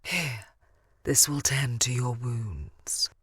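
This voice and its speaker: A woman's voice: extremely low pitch, drawn-out words, a bit bright, breathy edge, dry recording, heavily acted. A woman playing a seductive dusk elf vampire in an audio drama, doting and protective, with a sultry, cooing manner. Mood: soothing. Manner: cooing, sultry, purring endearments. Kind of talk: audio drama character acting.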